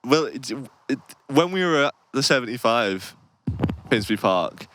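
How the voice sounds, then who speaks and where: A man's voice: mid-range voice, animated, moderate pace, fairly bright tone, a little hiss or hum. A young man co-hosting a podcast in casual chat, rambling, laughing often and swearing freely. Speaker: podcast co-host.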